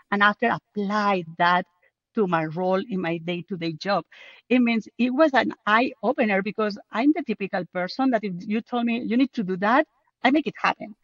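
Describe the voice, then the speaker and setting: A woman's voice: medium pitch, dry recording, highs cut off. A woman from Spain with a strong Spanish accent, speaking English as a second language, passionate and casual as an interview guest. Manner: passionate, with restarts and non-native grammar. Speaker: guest, co-author.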